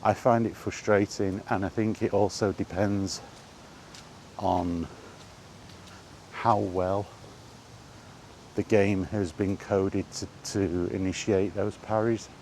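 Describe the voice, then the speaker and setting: Male, deep voice, slow halting delivery, casual off-the-cuff chat, faint outdoor noise behind. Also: steady tone, darkish tone. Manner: halting, musing, repeats phrases. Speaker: host of a gaming chat series. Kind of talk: casual rambling monologue about video games.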